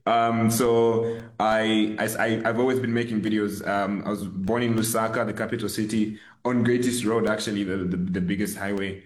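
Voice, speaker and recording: A man's voice: lowish voice, level tone, normal speed, few pauses, echoey room. A young man with a Zambian accent, an upbeat and joking guest chatting casually in an interview. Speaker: guest, video creator.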